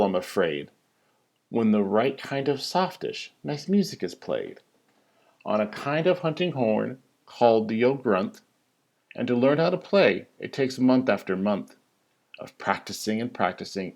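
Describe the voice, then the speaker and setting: Male storybook reader, slow and deliberate, highly animated, theatrical singsong emphasis on the rhymes. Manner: theatrical, singsong rhyming delivery with drawn-out emphasis. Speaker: teacher reading a story aloud. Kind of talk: expressive children's picture-book read-aloud.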